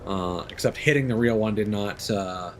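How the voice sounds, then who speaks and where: A man's voice: on the low side, normal speed. A man streaming a game, rambling through live commentary and correcting himself often, with casual swearing. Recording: noisy background.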